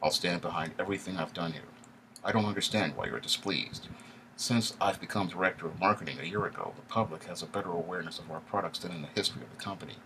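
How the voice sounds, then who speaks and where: A man reading a first-person story aloud in a steady, wry storytelling manner. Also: low-pitched, fast, a little hiss or hum, poor audio. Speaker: audiobook narrator. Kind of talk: first-person audiobook narration.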